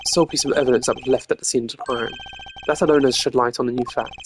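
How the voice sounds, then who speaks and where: A streamer voicing a stern, analytical young gentleman prosecutor in a video game, formal, deliberate and brooding. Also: medium pitch, fairly even intonation, a little hiss or hum, close to an everyday voice. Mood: determined.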